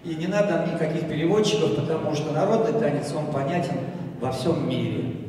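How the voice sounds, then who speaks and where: A man speaks from a stage in a large, booming hall, picked up from far off. His voice sits a bit high, and he talks in an emotional, halting way, pausing to search for words. The audience murmurs faintly behind him. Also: dark tone, a little hiss or hum. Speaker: dance group leader giving a speech. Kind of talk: congratulatory stage speech. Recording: echoey hall, recorded from afar.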